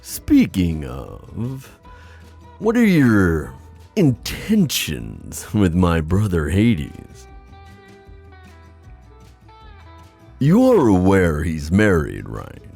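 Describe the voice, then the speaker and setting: A deep-voiced, gravelly god of the sea, acted by a man for a fantasy ASMR roleplay. He is playful and scheming, toying with his listener in a theatrical, teasing way. His unhurried lines swing dramatically up and down. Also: wildly swooping pitch, a little mellow, faint music behind, full character voice. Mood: teasing, mischievous. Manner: theatrical, teasing, drawn-out musing with chuckles.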